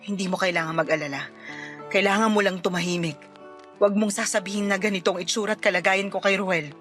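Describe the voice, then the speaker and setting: A woman's voice: medium pitch, steady tone, fast, a bit bright, natural voice. A woman playing a worn-out, despairing young mother in a Filipino radio drama, with a Filipino accent and a sullen, pleading manner. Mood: sullen, curt. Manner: curt and sullen, breaking into tearful pleading. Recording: music behind the voice.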